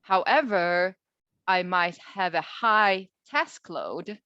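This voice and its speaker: A woman researcher answering questions in an informal webinar, speaking thoughtfully and hesitantly with pauses mid-sentence. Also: on the low side, animated, bright, forceful tone, dry recording. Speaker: researcher presenting a study as webinar guest. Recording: dull, lo-fi online call audio.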